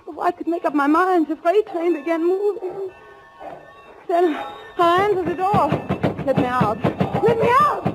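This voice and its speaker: An actress playing a frightened, tense woman on the run, narrating her ordeal with dramatic 1940s radio thriller acting. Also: very high-pitched. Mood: panicked. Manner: dramatic, breathless, suspenseful delivery. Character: frightened young woman fugitive named Anna, tense, recounting her ordeal. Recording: noisy old 1940s radio recording.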